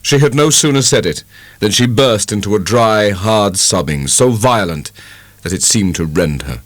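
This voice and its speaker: Low-voiced, expressive male audiobook narrator. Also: normal speed, no room sound. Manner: expressive, literary storytelling. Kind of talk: dramatic scripted audiobook narration.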